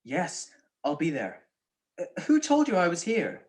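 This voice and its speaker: Young woman as flippant detective, low lively voice. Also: unhurried. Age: young adult. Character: suave, flippant male private detective husband. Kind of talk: 1940s radio detective drama acting.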